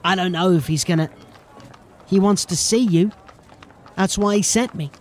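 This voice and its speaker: An actor playing a cocky, threatening street-gang henchman in a fantasy audio drama, with a rough, slangy, taunting way of talking. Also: sits high, normal speed, a little hiss or hum, lightly acted. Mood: grim.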